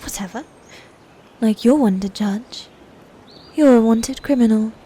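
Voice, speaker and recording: A woman playing a proud, bitter female knight in a fantasy ASMR roleplay, breathy and often near a whisper, with formal, commanding diction. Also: a little high, a little slow, mellow, slight background noise, close to an everyday voice. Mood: irritated, bossy.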